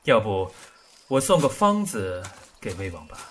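An actor playing a composed, courteous and shrewd court official in a Chinese period audio drama. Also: male voice, fairly low pitch, very animated, slight background noise. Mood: politely probing. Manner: courteous, measured, formal.

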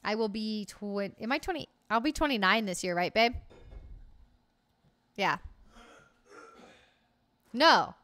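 A woman's voice: mid-range voice, animated, takes it slowly, long pauses, bright, forceful tone. Casual swearing woman in her late twenties. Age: late 20s. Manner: loose, cheerful, swears freely. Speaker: streamer. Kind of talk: casual chat with live chat. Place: home room, indoors. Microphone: desk mic on arm, headset.

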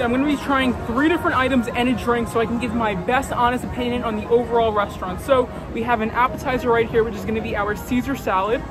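A young man in a casual food-review vlog, chatty and frank, often saying "like" and "literally", filmed outdoors at a theme park. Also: extremely high pitch. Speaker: vlogger.

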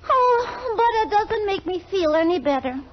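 A sweet little rag doll girl with an extremely high, childlike voice, plaintive and gushing. It is old 1940s radio drama, muffled with some hiss. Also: lively intonation, normal speed.

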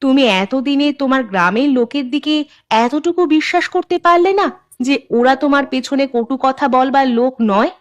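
A woman's voice: high-pitched, lively intonation, moderate pace, dry recording, lo-fi sound. A woman playing the sensible, reproachful wife of a village headman in a cartoon, firm and admonishing. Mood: reproachful, persuading. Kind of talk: Bengali cartoon voice acting.

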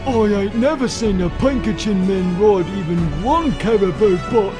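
A man voicing a whiny, dim Wild West outlaw in a cartoon comic dub, with drawn-out cowboy talk. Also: high voice, lively intonation, music in the background. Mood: amazed.

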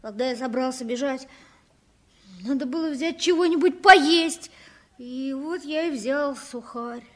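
A woman playing an earnest, halting nine-year-old runaway orphan boy in a 1960s radio play. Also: high-pitched, a bit bright. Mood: sheepish confessing.

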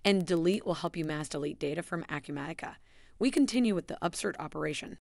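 A woman giving a scripted, even voice-over that walks through software steps. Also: low-pitched, fast talker, gravelly, dry recording, studio quality. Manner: even, instructional delivery. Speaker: software tutorial narrator.

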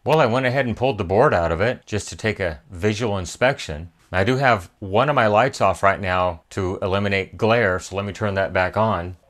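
Male repair-tutorial host, lowish pitch, methodical step-by-step delivery. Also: moderate pace, few pauses, fairly bright tone. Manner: methodical, step-by-step, thinking aloud. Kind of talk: hands-on repair tutorial narration. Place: indoor electronics workbench.

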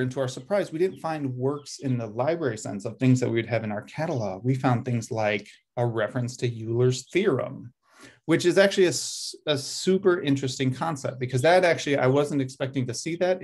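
A man's voice: medium pitch, a little mellow. A middle-aged man giving a presentation over a video call with shared slides. He thinks aloud, with frequent ums and self-corrections. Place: indoor room at a desk.

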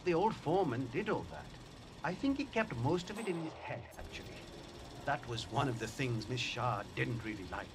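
A man's voice: medium pitch, very animated, moderate pace, background noise. An actor playing a plain-spoken, slightly anxious male laundry employee in a video game. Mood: anxious, explaining. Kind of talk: acted game background dialogue.

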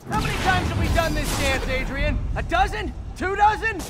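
A man's voice: very high-pitched, expressive, normal speed. A man playing a young, quippy superhero in a video game, with sarcastic, breezy banter. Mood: mocking. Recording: loud game music behind. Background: loud music.

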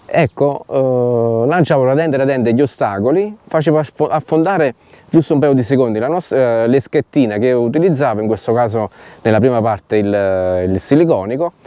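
A middle-aged man explaining fishing technique to camera, haltingly, searching for his words. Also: medium pitch, mellow, no room sound. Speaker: fishing vlogger. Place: outdoors on a grassy lakeshore.

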